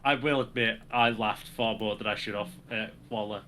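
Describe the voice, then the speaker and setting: A young man from the UK chats casually as a podcast co-host, laughing often. His voice is bright and forward. A faint hiss sits under the recording. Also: fairly low pitch, fairly even intonation, moderate pace. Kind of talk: casual wrestling chat.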